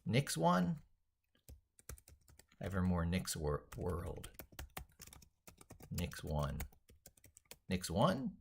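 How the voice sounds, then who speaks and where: A man thinking aloud as he drafts an opinionated tech piece, halting, repeating and reworking his phrases with emphatic points. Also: deep voice, wildly swooping pitch, vocal fry, a little hiss or hum. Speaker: tech educator and video creator.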